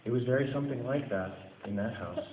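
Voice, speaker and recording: A man's voice: mid-range voice, quick pace. A man giving a dramatic storybook reading in a theatrical, phrase-by-phrase delivery. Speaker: story reader. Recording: tinny, noisy, echoey hall recording.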